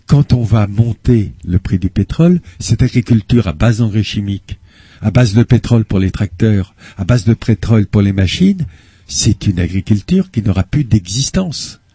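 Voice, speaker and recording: Older male radio guest, spokesman type; low, husky, deliberate, dry mic. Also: expressive, warm tone, dry recording. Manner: measured, hesitant with frequent "euh", explanatory. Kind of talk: earnest radio interview answers. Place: radio studio. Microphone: studio microphone.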